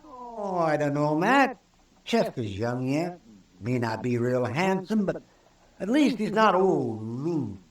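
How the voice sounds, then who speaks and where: Wry, folksy frontier town doctor. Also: male voice, fairly high pitch, sing-song, slow. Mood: wry amusement. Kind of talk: old-time radio drama acting. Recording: roomy old radio recording, distant from mic.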